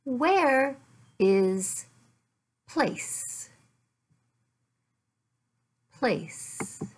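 Very slow-talking American woman teaching. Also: high-pitched, expressive. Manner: deliberate, simple short phrases with pauses and repetition. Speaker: English teacher. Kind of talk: beginner language lesson, addressed to learners. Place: small furnished living room at home.

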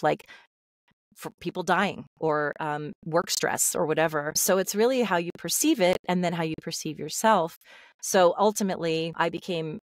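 Candid middle-aged woman, low dry voice. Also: no echo. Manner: open, confessional, lots of fillers and restarts. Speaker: guest, voice actor. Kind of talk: candid personal storytelling. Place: home room, video call. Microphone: studio mic on stand.

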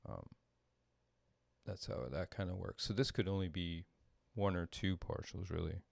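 Middle-aged guy walking thru code on a screencast, deep voice, thinks out loud, really dry close sound. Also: fairly even intonation, gravelly, no echo. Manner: halting, thinking aloud as he works. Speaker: tutorial presenter, composer. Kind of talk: casual screencast coding demo. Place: small home room, indoors.

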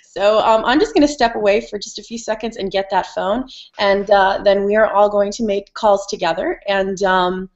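A woman's voice: mid-range voice, fairly even intonation, normal speed, hardly any pauses. A woman in her thirties hosting an online town hall, rambling with lots of fillers, from a room at home through a laptop mic. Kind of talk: hosting a video call. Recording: dull lo-fi video call sound.

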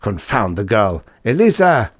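A man's voice: mid-range voice, big pitch swings, fairly quick, no echo, clean studio sound, pitched above the performer's own voice, natural voice. A man voicing an irascible, pompous older professor in a storybook reading, blustering and imperious. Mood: enraged. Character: irascible, pompous older male German professor, bellowing indignantly.

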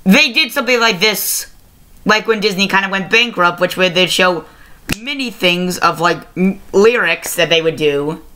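A young adult giving a casual video review to camera, halting and often self-correcting, recorded on a webcam mic in a small room. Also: mid-range voice, pushed and bright. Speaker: review show host. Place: small plain-walled room indoors. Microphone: laptop webcam microphone at arm's length.

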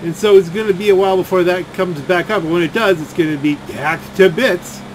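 Higher-pitched man rambling over game noise. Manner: complaining, halting, repeats words. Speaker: gaming video creator. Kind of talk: rambling gameplay commentary. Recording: game and engine noise behind voice.

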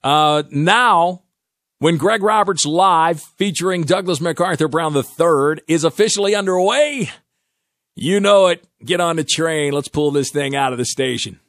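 A man hosting a talk radio show from the studio, talking in an animated, folksy way and repeating himself as he goes. His voice sits a bit high for a man. The studio sound is close and dry. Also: unhurried, no echo. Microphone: studio microphone.

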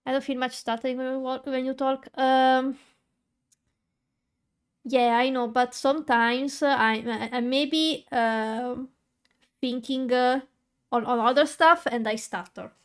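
A woman's voice: sits high, steady tone, measured pace, smooth. A young woman streaming while coloring, chatting casually to her viewers, with a stutter and many fillers and restarts. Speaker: VTuber streamer.

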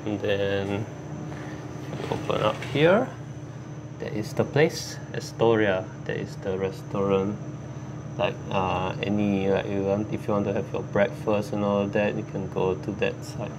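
Male travel vlogger, lowish voice, unhurried ramble, handheld camera, heavy street noise. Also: steady tone. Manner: rambling, loose, many fillers. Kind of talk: casual walking vlog. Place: hotel room, then city street outdoors. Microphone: handheld camera on small tripod. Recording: loud street and room noise.

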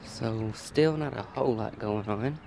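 A man's voice: lowish voice, a little slow, creaky, noisy background. A man with a nasal, allergy-congested voice chats casually as he films a walk through his backyard garden. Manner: chatty, thinking aloud while filming. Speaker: garden vlogger.

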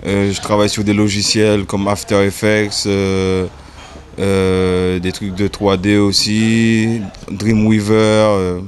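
Laid-back man in his thirties, low voice. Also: slow, a little hiss or hum. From French Caribbean. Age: 30s. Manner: relaxed, hesitant, many fillers and repeated "tu vois". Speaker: music video director. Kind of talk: casual interview. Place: indoor room. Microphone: desk mic in front.

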